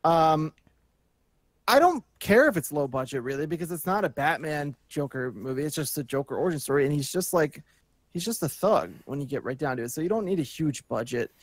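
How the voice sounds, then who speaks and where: A man's voice: fairly high pitch, lively intonation, a little fast, no echo. A man chatting casually as a panelist, thinking aloud with hesitant phrasing. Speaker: panelist on a movie news talk show. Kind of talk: casual chat.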